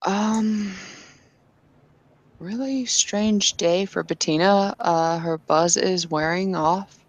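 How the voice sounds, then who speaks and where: A woman narrating her character in a tabletop roleplay, hesitant with many pauses. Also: lowish voice, no echo, highs cut off. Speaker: player.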